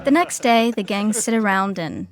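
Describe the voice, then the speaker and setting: A woman's voice: medium pitch, moderate pace. A woman reading scripted comedic narration for a sitcom. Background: music.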